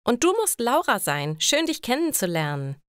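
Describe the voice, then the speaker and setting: Woman reading as pushy touchy guy friend, story dialogue, higher pitch, dry sound. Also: sing-song, moderate pace, dry recording. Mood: cheerful. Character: pushy, easily offended male friend dropping by. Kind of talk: German learner story dialogue.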